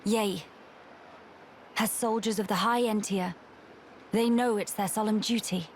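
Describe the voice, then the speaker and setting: A woman's voice: medium pitch, steady tone, measured pace, slight background noise, lightly acted. A woman playing a young, determined noble leader in a video game cutscene, speaking formally and resolutely. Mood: earnest, determined.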